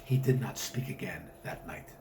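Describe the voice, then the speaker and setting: A man's voice: lowish voice, animated, normal speed. Man reading a story aloud, noisy recording. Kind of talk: scripted story narration.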